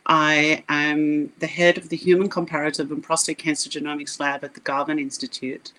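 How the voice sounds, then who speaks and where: A woman's voice: deep voice, little pitch movement, normal speed, a bit bright, poor audio. A middle-aged woman from South Africa with a South African accent, a scientist guest speaking earnestly and with passion in a podcast interview. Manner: earnest, emphatic, passionate storytelling.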